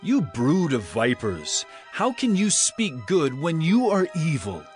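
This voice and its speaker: A man's voice: a little high, huge pitch swings, takes it slowly, soft background music, exaggerated voice. An actor playing a stern, earnest male teacher with authority, declaiming emphatically in a dramatized scripture production. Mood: stern rebuke.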